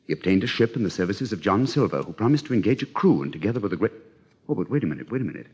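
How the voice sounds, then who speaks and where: Deep, husky male storyteller. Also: sing-song, racing, a little mellow. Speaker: narrator. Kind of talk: dramatic scripted storytelling. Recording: slightly dull old record.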